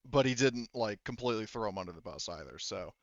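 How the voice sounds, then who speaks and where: A man's voice: fairly low pitch, animated, fast, dry recording, dull audio. A man casually chatting as a game master, explaining things in a rambling, conversational way. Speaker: game master of an online tabletop roleplaying group. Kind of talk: casual chat, post-game discussion.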